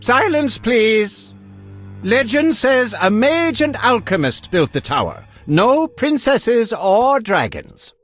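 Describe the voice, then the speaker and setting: A man's voice: very high-pitched, very animated, slow pace, fairly bright tone, exaggerated voice. A man playing an eccentric, pompous, absent-minded alchemist in a video game, with theatrical delivery. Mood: lecturing. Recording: music behind.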